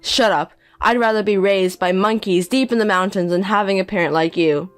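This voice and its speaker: A woman's voice: mid-range voice, moderate pace, close to an everyday voice. A woman voicing a cold, contemptuous grown son.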